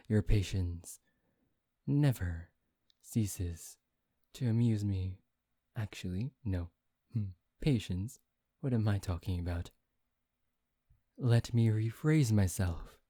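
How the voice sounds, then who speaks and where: A teasing, seductive male vampire, acted by a man for an ASMR roleplay. The voice is dark and mellow and moves slowly, with intimate, formal phrasing. It sounds very dry and close. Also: lowish voice, no room sound. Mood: tender, teasing. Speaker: voice actor.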